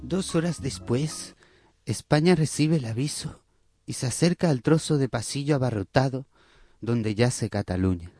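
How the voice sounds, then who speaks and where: A man's voice: mid-range voice, moderate pace. A man narrating a radio serial in a melodramatic, scripted style. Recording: music clearly behind the voice.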